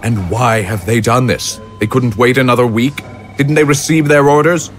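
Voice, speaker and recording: An actor playing an imperious, impatient consul in an audio drama, speaking with stern, formal authority. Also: male voice, mid-range voice, steady tone, moderate pace, faint music behind, lightly acted. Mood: stern, defensive. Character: imperious, impatient male consul commanding an army.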